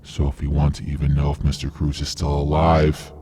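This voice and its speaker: A man playing a calm masked mastermind in a radio play, polite, condescending and menacing. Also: extremely low pitch, brisk, background noise. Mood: smug menace. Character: calm, masked male mastermind villain, smugly controlling.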